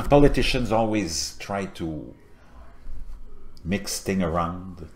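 Deep-voiced French Canadian man, emphatic. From Montreal. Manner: earnest, emphatic, swings between low murmurs and loud bursts. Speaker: political video host. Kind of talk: impassioned political commentary to camera. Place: small indoor home setup with a virtual backdrop.

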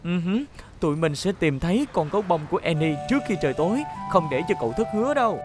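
A woman voicing a calm, assured police car who leads a rescue team in a children's cartoon. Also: lowish voice, fast talker, music in the background, lightly acted. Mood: reassuring. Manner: assured, helpful. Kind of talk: dubbed children's cartoon acting.

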